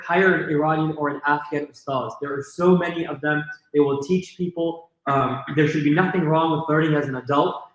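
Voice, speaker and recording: A man answering an audience question at a lecture, earnest and enthusiastic, thinking aloud with fillers. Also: on the high side. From California, USA. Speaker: panelist. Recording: very echoey hall.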